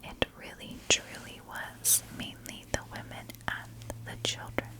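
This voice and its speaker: A woman tells a story in a soft ASMR whisper, with no voiced tone at all. Her delivery is very slow and deliberate, breaking the narration into short, measured phrases. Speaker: ASMR storyteller, channel host.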